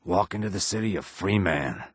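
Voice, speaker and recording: A man voicing a reptilian Argonian assassin and outlaw in a video game, with a rasping, husky voice. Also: low voice, normal speed, dry recording, studio quality. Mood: muttering, grumbling. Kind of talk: video game character dialogue.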